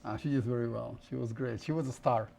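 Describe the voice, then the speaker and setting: A middle-aged man, a professor guest on a TV studio show, explaining carefully with pauses and fillers. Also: medium pitch, steady tone, gravelly, warm tone.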